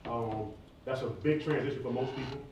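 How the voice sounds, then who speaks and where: A man's voice: mid-range voice, fairly quick, darkish tone, highs cut off. An American man from near Atlanta speaks candidly and casually in slangy phrases, answering questions at a live event. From southeastern United States, near Atlanta. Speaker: hip hop artist and former college athlete answering questions. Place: large echoey indoor room at a live event. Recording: echoey hall, distant mic, faint noise.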